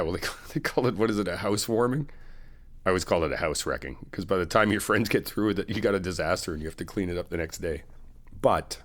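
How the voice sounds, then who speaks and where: A middle-aged man in an ASMR friend roleplay, talking in an easygoing, reassuring, chatty way with soft laughs. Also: low voice. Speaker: ASMR roleplay creator playing a best friend.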